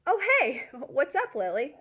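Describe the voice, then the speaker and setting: A woman's voice: high voice, sing-song, a little slow, a bit bright, husky, voice pushed up, heavily acted. Woman voicing an eager, wordy college guy. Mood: eager, mock-casual.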